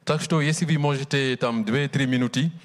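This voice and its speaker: A man's voice: medium pitch, brisk, in a large hall. A man chairing a conference who thanks speakers and calls the next one, talking informally with hesitant filler sounds. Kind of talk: moderating a conference.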